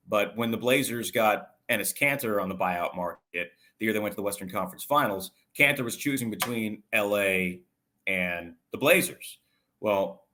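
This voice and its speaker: A man's voice: fairly low pitch, pushed and bright. A man working as a sports broadcaster, giving detailed conversational analysis as an interview guest in stop-and-start phrases.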